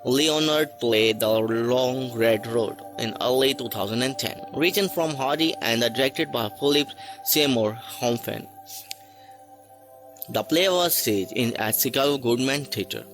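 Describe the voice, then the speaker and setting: A man narrating a list video from a script, reading haltingly with stray articles and restarts. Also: medium pitch, music in the background. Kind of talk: read-aloud list narration.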